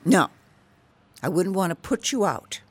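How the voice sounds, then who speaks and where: A woman's voice: on the low side, very animated, unhurried, slightly rough, full character voice. A woman acting in a radio drama as a bored, bitter middle-aged wife, theatrical and sarcastic in manner. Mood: sarcastic, hurt.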